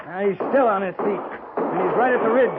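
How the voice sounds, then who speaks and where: An actor playing a grave, commanding cavalry captain in a 1950s radio drama. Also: male voice, high-pitched, a little fast, close to an everyday voice. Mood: urgent, shouting orders. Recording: lo-fi 1950s radio broadcast, noisy.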